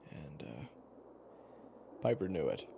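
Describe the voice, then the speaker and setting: Casting: deep-voiced male actor as a patient, teasing survivor-storyteller for audio drama; halting, wry delivery, lively intonation, over clear background noise. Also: heavily acted. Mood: wry, reminiscing. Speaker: character narrator. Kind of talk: conversational in-character storytelling, audio roleplay. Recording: clearly noisy background ambience.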